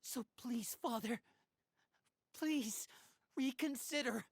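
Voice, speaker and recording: A woman's voice: a little high, slow pace, husky, full character voice. An actress voicing an earnest, determined teenage boy in a video game, speaking articulately and pleading for his family.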